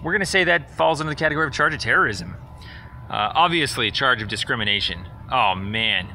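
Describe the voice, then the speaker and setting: Male caller, mid-pitched and forceful, confrontational and sarcastic, rambling accusatory voicemail, slight noise behind. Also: lively intonation, a little fast. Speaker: caller leaving a voicemail complaint. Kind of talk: rambling phone message, accusatory monologue.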